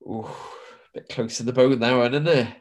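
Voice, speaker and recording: A middle-aged British man co-hosts a podcast while stuffed up with hay fever. He chats loosely and swears now and then, with a voice of ordinary pitch. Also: fairly even intonation, normal speed, darkish tone. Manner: chatty, swears casually, says "bro" and "mate". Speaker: podcast co-host. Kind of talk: casual chat.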